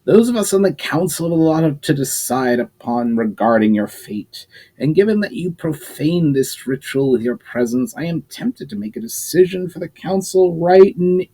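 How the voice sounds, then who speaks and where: A man's voice: a little high, lively intonation, moderate pace, mellow, breathy edge, pitched above the performer's own voice, rough recording. A man voicing a haughty, aggressive male mage elder who speaks sarcastically. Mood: menacing.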